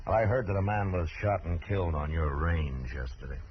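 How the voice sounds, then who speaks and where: An American actor playing a calm, fair-minded, middle-aged frontier marshal in an unhurried, plain-spoken way, in 1950s radio western style. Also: male voice, sits low. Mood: grave, measured. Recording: muffled, noisy old radio recording.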